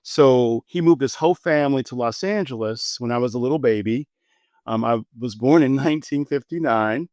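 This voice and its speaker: A man's voice: mid-range voice, normal speed, no room sound. An older American man in his sixties tells his story as a guest physician, his voice rising and falling with animation. The sound is dry and close, with no room around it. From United States. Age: 60s. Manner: animated storyteller, repeats words when excited. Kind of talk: conversational interview storytelling.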